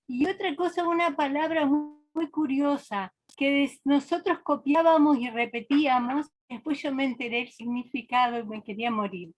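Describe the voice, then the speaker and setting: A woman, speaking thoughtfully in a seminar discussion and often restarting her sentences. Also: high-pitched. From Argentina. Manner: reflective, hesitant, with frequent restarts. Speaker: seminar participant.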